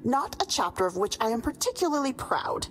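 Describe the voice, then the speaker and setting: An actress playing a stern, prissy and menacing female librarian in an animated video game, prim, haughty and condescending. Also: fairly high pitch, a little fast, soft background music. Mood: prim disdain.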